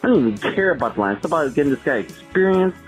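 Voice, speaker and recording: A man leaving an excitable, emphatic sports fan rant as a voicemail. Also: fairly high pitch, very fast. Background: music.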